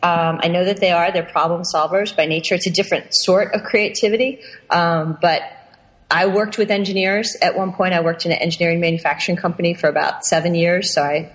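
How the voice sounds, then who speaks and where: A woman's voice: fairly low pitch, steady tone, a little fast. A woman from Pennsylvania speaking as a podcast guest in casual chat, chatty and often restarting her sentences with easy laughs. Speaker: podcast guest, workshop founder. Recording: long reverb on voice.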